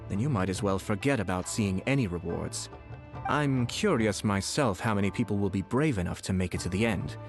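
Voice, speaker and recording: A courteous official running a shrine event in an anime game. His voice sits fairly low. He speaks formally and with measured composure over background music. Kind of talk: anime game dub acting.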